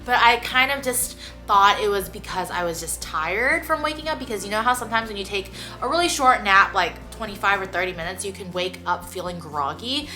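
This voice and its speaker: A woman's voice: mid-range voice, animated, bright, forceful tone, soft background music. A young woman, chatting to her camera about her own experience in a casual vlog, full of "like" fillers and her voice a little stuffy from congestion. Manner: chatty, many "like" fillers, quick laughs. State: slightly stuffy nose. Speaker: vlogger.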